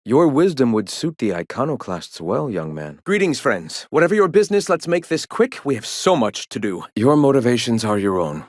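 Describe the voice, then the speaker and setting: An actor playing a zealous leader of a philosophical rebel movement in a video game, speaking in grandiose, preachy and solemn oratory. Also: male voice, medium pitch, big pitch swings, normal speed, hardly any pauses, no echo, studio quality. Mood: serene, philosophical, then brisk, busy. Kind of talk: video game dialogue acting.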